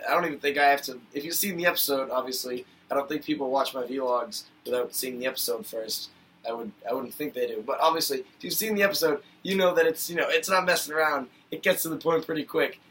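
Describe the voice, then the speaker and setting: A man's voice: fairly high pitch, pushed and bright, recorded from a distance, slight background noise, poor audio. A man vlogging a casual, rambling review of a cartoon episode, chatty and full of fillers, getting worked up now and then.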